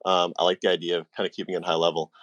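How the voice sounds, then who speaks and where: Very deep-voiced man, casual moderator. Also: flat delivery, rapid-fire, a bit bright, no room sound, lo-fi sound. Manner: hesitant, roundabout questions. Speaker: interviewer, moderator.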